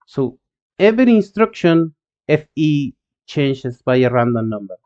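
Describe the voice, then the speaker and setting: A man from Argentina with an Argentine Spanish accent, a native Spanish speaker live-streaming his coding and reading chat, talking in halting phrases with many fillers and restarts. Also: mid-range voice, very animated, a little mellow, clear, resonant tone, highs cut off.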